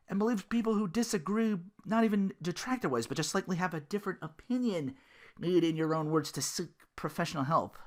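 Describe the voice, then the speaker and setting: Podcast host, thirties, comedic ranting, sarcastic, profane, sharp bright tone, very lively intonation. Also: medium pitch. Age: 30s. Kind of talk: casual comedic rant.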